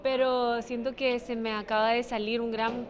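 A woman's voice: fairly high pitch, little pitch movement, moderate pace. A woman, a pageant contestant, giving casual answers in an interview.

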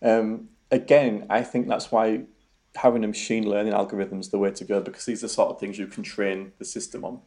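A man's voice: fairly low pitch, little pitch movement, brisk, mellow. A young man with a Yorkshire accent, a researcher answering interview questions in a hesitant way, full of ums and repeated words. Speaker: robotics researcher guest.

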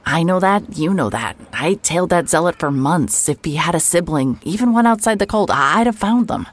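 A woman playing a hard-hearted, sarcastic private investigator with a deadpan delivery in a mystery audio drama. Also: fairly low pitch, moderate pace. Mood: defensive. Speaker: lead actor in an audio drama. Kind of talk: cinematic audio drama acting, noir narration.

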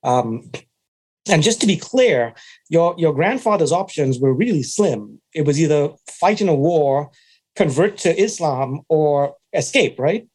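A man hosting a podcast, asking his guest measured, curious questions. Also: a little high, lively intonation, darkish tone, husky. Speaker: podcast host. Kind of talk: interviewer questions.

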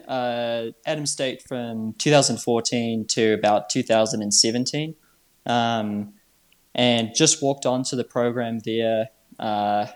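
A man in his twenties from New Zealand, chatting casually as a podcast guest in a laid-back, halting, slangy way. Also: medium pitch. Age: 20s. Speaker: podcast guest, trail runner. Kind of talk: casual chat.

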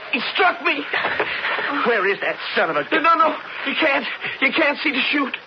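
An American actor playing a plain-spoken cowhand of the Old West in a radio drama, with folksy cowboy idiom. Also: male voice, very high voice, very animated, normal speed. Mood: alarmed, pained. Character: plain-spoken Western cowhands, outlaws and sheriff. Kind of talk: old-time radio western acting. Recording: muffled, noisy old radio broadcast.

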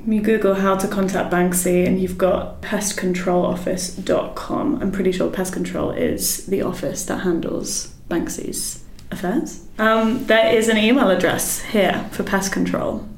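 A woman's voice: medium pitch, fairly even intonation, unhurried. A woman on a podcast production team, chatting in an easygoing way in a casual meeting. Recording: echoey room, faint noise.

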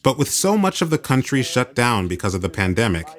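A man's voice: mid-range voice, sing-song, fairly quick. A man hosting a radio arts program, delivering scripted narration as a writer and critic. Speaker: host, writer and theater critic.

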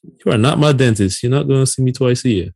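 A man's voice: medium pitch, fast talker, gravelly, darkish tone, slightly rough, dry recording, clean studio sound. A man in his late thirties from Barbados, co-hosting a podcast in casual conversation. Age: late 30s. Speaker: podcast co-host.

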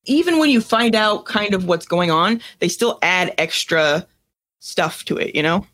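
A woman's voice: on the low side, lively intonation, measured pace, a bit bright. A woman co-hosting a podcast, chatting casually in a hesitant, loosely worded way. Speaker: podcast co-host. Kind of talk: casual chat.

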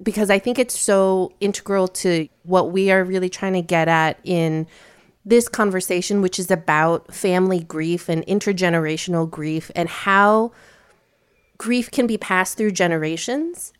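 A woman's voice: fairly low pitch, moderate pace, smooth. A woman co-hosting a podcast, playful and engaged, asking the guest about her personal story. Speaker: podcast co-host. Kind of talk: casual podcast interviewing.

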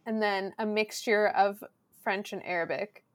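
Animated woman telling stories loudly. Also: medium pitch, unhurried. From Southern California. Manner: loud, animated storyteller who acts out quotes. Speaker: podcast guest. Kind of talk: casual podcast chat.

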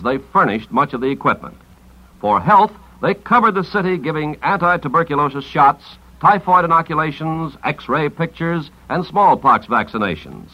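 A man announcing on 1950s radio, reading scripted narration in a formal, measured way. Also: on the high side. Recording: dull vintage 1950s radio recording.

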